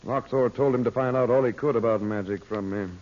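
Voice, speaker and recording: A man's voice: medium pitch, fairly quick, darkish tone, natural voice. A man playing a composed, inquisitive radio hero. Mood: concerned. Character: composed, inquisitive male adventurer hero, magician. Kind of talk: old-time radio adventure serial acting. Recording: muffled old radio recording with hiss.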